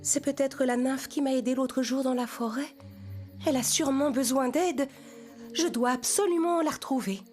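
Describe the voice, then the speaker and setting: A woman voicing a sweet, caring young princess with a gentle, concerned manner in a children's cartoon. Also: high-pitched, moderate pace, music in the background, pitched above the performer's own voice, close to an everyday voice. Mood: determined, worried.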